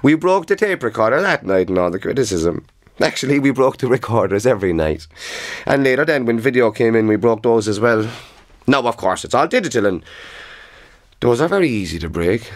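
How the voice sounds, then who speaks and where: An actor playing a wry, knowing witness reminiscing in a mock-documentary interview. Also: male voice, medium pitch, very animated, fairly quick, lightly acted. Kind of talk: mock-documentary interview, comic radio acting.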